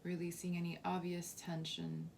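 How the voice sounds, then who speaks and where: A woman leading a guided body scan meditation as a teacher, softly and calmly, with unhurried phrasing and long pauses. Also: fairly low pitch, vocal fry, a little hiss or hum. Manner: soft, unhurried, calming instruction with long pauses.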